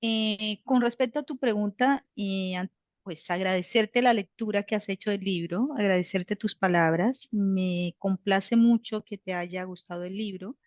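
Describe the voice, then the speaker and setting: A woman's voice: mid-range voice, unhurried, darkish tone, dry recording. Thoughtful woman, muffled and dry. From Colombia. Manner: thoughtful, many fillers and restarts. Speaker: author. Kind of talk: reflective interview answer. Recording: dull, dry sound.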